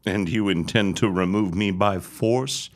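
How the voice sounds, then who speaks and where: Menacing period-drama reverend, deep and unhurried, self-righteous, taunting, preacherly. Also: male voice. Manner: slow, grandiose, preacherly threatening. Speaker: actor in an audio drama. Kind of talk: period audio drama acting.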